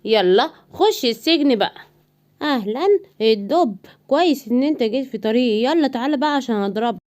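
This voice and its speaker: A woman's voice: on the high side, lively intonation, quick pace, a little mellow, lightly acted. An Egyptian woman voicing a young male lion cub turned mean bully who threatens and brags in a cartoon. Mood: menacing. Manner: boastful, threatening.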